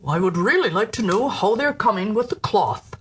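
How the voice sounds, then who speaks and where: A woman voicing a vain, self-assured male emperor in a storybook tale, speaking in a measured, pompous way. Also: medium pitch, expressive, a bit bright, slight background noise, natural voice. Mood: self-assured musing.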